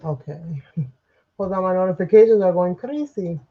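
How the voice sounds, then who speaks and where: A woman's voice: fairly low pitch, normal speed, muffled recording. Sniffly woman chatting through a craft stream. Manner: chatty, rambling, talks to viewers as you guys. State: sniffly, under the weather. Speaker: craft livestream host. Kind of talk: live crafting tutorial. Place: home craft desk. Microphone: overhead camera livestream, speaker off-frame.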